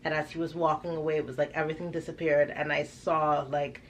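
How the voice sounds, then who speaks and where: A woman from Trinidad chatting casually and telling personal stories in an animated, emphatic way. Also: sits low, quick pace, a little hiss or hum, rough recording. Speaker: vlogger and parent of six. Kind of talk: casual chat, personal storytelling.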